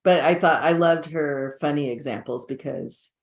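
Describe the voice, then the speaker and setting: A trainer speaking casually in a training discussion, relaxed with many fillers. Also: medium pitch, moderate pace.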